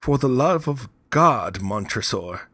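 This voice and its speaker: A man's voice: medium pitch, expressive, slow pace, a bit bright, no echo, clean studio sound, voice pushed up. A man voicing a desperate, doomed nobleman in a gothic story, with forced laughter and pleading. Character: chained, doomed nobleman, drunk turned frantic, laughing desperately.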